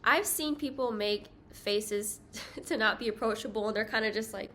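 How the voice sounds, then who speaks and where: A young woman vlogger talks straight to camera, friendly and self-deprecating, with little laughs now and then. Her voice sits fairly high. Faint noise hums behind her. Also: moderate pace, sharp tone. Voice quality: higher-pitched voice. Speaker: travel vlogger. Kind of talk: casual talking-head vlog.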